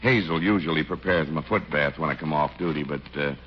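An actor playing a cordial, easygoing small-town police chief with a halting, folksy manner in a 1940s radio comedy. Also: male voice, a bass voice. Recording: muffled, noisy 1940s radio recording.

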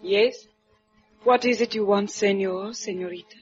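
A woman's voice: mid-range voice, a little mellow, close to an everyday voice. Proud, sorrowful Cuban woman acted in radio drama. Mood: guarded, resigned. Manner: formal, measured, melodramatic. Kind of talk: 1950s radio drama acting. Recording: muffled old radio recording, music.

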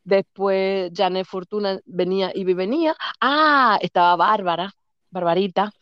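A woman's voice: medium pitch, measured pace, clear, resonant tone, dry recording, dull audio. A middle-aged Dominican woman being interviewed, casually recalling her past in a rambling way, repeating names and laughing often. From Dominican Republic. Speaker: guest.

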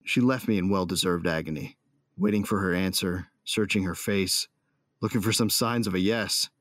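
A man's voice: deep voice, normal speed, no echo, clean studio sound. A man playing a passionate, lovestruck space bounty hunter, narrating intimately and earnestly in an audio drama. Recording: loud music behind the voice. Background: music.

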